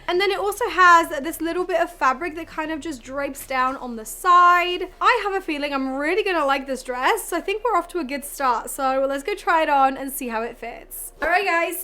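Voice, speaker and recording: A woman chats through a clothing haul in a high, bright voice. Laryngitis has left her slightly hoarse. She gushes freely, with plenty of "like" and "literally". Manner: chatty, gushing, frequent "like" and "literally". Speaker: fashion vlogger. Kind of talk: casual haul review.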